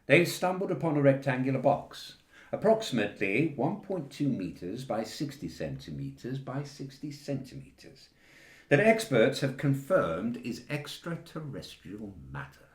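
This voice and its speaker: Brief: man as officious British civil servant, precise briefing style with wide pitch movement, radio satire, echoey room. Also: sing-song, normal speed, close to an everyday voice. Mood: officious, grave. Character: formal, dutiful male government official giving a briefing.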